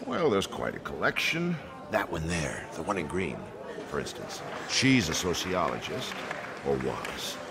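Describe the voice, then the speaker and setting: Casting: smug middle-aged commander, very low voice, animated swings in delivery, furtive and patronizing, audio drama over loud music. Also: male voice, unhurried, over a noisy crowd, full character voice. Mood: smug, expansive. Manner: condescending, self-assured. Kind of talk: modern audio drama acting. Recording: loud music bed behind voice.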